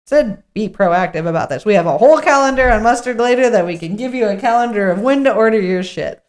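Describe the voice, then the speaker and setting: A woman's voice: mid-range voice, animated, quick pace. A woman co-hosting a podcast, chatting casually through a script read-through in a blunt way, swearing freely. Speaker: podcast co-host.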